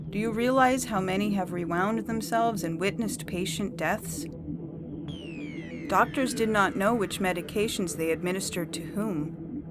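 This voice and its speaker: A woman's voice: mid-range voice, background noise, lightly acted. A woman playing a coldly official, unyielding judge in a dramatized science fiction story reading, lecturing formally. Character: coldly official female judge, zealous about majority rule. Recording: loud music bed under the voice.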